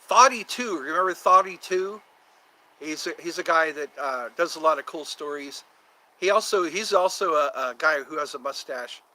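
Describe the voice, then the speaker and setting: A man's voice: fairly high pitch, lively intonation, normal speed, sharp tone, poor audio. A man in his fifties hosting a casual livestream, chatting to viewers in a meandering way and slipping into quoted voices. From United States. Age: 50s. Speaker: livestream host.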